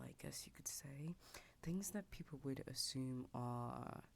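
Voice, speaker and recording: Very low-voiced whispering American woman. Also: vocal fry, slight background noise. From United States. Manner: soft whisper, rambling with restarts and asides. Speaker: ASMR storyteller. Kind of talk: whispered ASMR roleplay storytelling. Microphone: desk mic lowered near a keyboard. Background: keyboard typing sounds.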